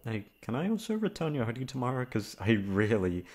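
A young man acting a shy, flustered, sweet-natured male classmate in an ASMR roleplay, speaking in a halting, stammering way. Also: mid-range voice, big pitch swings, lightly acted. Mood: hesitant, sleepy. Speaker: voice actor. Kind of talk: ASMR roleplay acting, one-sided dialogue.

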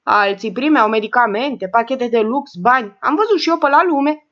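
Indignant caretaker woman voiced by a woman reader, high, lively, muffled. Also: lightly acted. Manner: rapid indignant chatter. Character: blunt working-class caretaker woman, indignant.

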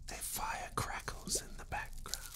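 A young man whispering playful ASMR as a guest, close to a studio microphone. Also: measured pace. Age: young adult. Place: small indoor studio set.

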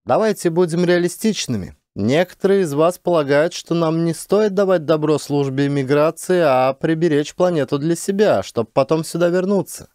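A man voicing a brisk, authoritative female space commander in an audiobook, firm, pragmatic and commanding. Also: fairly high pitch, darkish tone, no room sound, studio quality, voice pushed up, natural voice. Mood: firm, persuasive.

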